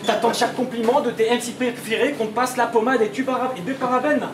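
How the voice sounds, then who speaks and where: A man's voice: very high voice, steady tone, quick pace. A man battle rapping a cappella, firing insulting punchlines at his opponent. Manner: insulting, punchline-driven delivery. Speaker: battle rapper. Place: event hall. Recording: echoey hall with background noise.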